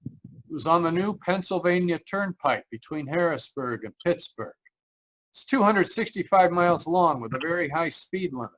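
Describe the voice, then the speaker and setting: A man's voice: fairly high pitch, animated, moderate pace, fairly bright tone, poor audio. A man playing an uneasy motorist who tells his eerie road story in old-time radio mystery style, with a hesitant, dramatic delivery. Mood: haunted, brooding. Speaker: actor, lead narrator. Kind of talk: 1940s radio mystery acting. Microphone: remote video call.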